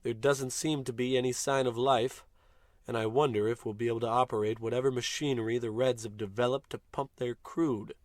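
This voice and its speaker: An actor playing a bossy, pompous, short-tempered space captain in a scripted old-time radio comedy. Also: male voice, medium pitch, steady tone, fairly quick, no room sound, studio quality, close to an everyday voice. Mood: solemn log. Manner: pompous, blustering, commanding.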